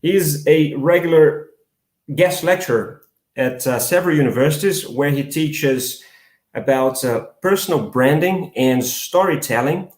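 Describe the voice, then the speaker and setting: A man's voice: fairly high pitch, a little mellow, echoey room. A Brazilian man hosting a live online interview, hesitant, with many fillers and restarts. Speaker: host.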